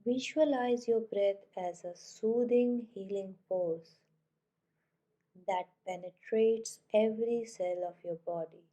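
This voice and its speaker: A woman leads a guided meditation as a yoga nidra teacher. Her voice is dark and relaxed, at a middle pitch for a woman. She speaks very slowly and gently, giving each soothing instruction in a measured way. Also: highs cut off. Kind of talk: guided meditation, scripted narration.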